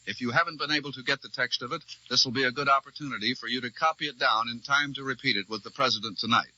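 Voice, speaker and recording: An American man reading scripted news as a formal, measured radio correspondent in a 1940s broadcast. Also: mid-range voice, pushed and bright. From United States. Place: radio newsroom. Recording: dull vintage 1940s radio broadcast recording.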